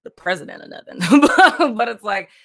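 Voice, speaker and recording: A woman's voice: medium pitch, expressive, fairly quick. A woman from Puerto Rico hosting a casual spiritual live stream, rambling loosely with lots of "like" and "you know what I mean" and frequent laughter. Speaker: livestream host.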